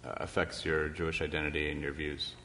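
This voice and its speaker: A man's voice: extremely low pitch, flat delivery, fairly quick, vocal fry, pushed and bright. A man interviewing informally, tentative and searching for his words as he frames questions. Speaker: interviewer, moderator. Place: large echoey room or hall. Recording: reverberant hall with background noise.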